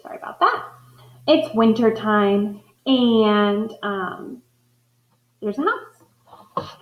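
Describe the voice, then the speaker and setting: A woman's voice: medium pitch, lively intonation, drawn-out words, echoey room, dull audio. A woman teacher doing a read-aloud lesson, thinking aloud as she models inferences from the pictures for her students.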